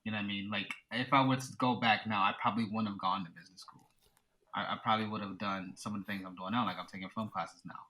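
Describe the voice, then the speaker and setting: A man in his thirties from the United States talks thoughtfully and keeps leaning on "you know". His voice is a bit low but bright and forceful in tone. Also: fairly even intonation, fast talker, low-quality recording. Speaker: podcast guest. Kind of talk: casual conversation.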